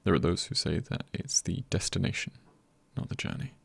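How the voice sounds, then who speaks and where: A man with a low voice delivers soft-spoken ASMR encouragement. His tone is heavily creaky and husky, with plenty of breath in it. He speaks in a hush that often slips into a whisper, leaving deliberate pauses between phrases. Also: lively intonation, no echo. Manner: hushed, often dropping into a whisper. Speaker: ASMR creator.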